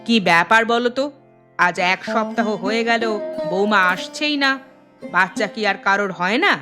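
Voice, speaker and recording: A woman's voice: mid-range voice, moderate pace, over music, close to an everyday voice. A woman voicing a resentful, stubborn middle-aged mother-in-law who complains indignantly in a cartoon family drama. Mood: indignant complaining.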